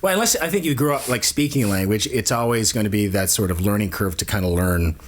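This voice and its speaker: A man's voice: on the low side, quick pace, a little hiss or hum. A man hosting a podcast, chatting casually and explaining things at length. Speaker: podcast host. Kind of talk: casual chat.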